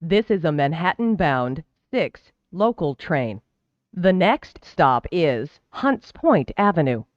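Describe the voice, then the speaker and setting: A subway announcer reads scripted service announcements slowly and formally. The pitch moves in lively contours while each word is carefully enunciated. The recording is dry and a little dull. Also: no room sound. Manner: formal, measured, clearly enunciated. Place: recorded train public-address announcement.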